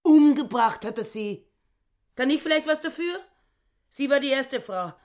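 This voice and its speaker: A woman's voice: high-pitched, animated, a little slow, darkish tone. A grasping, self-righteous housewife in a radio play. Her voice swings up and down widely as she nags in clipped, aggrieved, repetitive phrases. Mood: indignant, accusing. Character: grasping, narrow-minded, self-righteous shrewish housewife, full of accusations. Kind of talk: radio drama acting.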